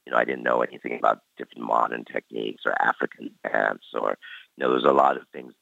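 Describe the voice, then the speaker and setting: A man's voice: a bass voice, little pitch movement, quick pace, gravelly, pushed and bright, no echo. A man in his forties who grew up in Canada, reflecting easily on his dance career as an interview guest. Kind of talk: casual interview talk. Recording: dry, dull lo-fi recording.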